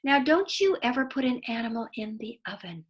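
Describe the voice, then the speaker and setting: A woman reading a children's story aloud in a deliberate, explanatory way, with asides to young listeners. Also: fairly high pitch, big pitch swings.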